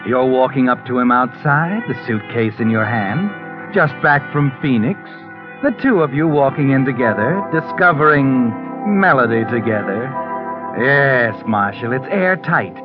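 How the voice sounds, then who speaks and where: An actor playing a knowing, sardonic narrator of a 1940s radio mystery, taunting and suspenseful. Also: male voice, medium pitch, expressive, unhurried, close to an everyday voice. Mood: taunting, tense. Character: sinister, knowing, all-seeing narrator taunting the schemer. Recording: muffled old radio recording, music behind.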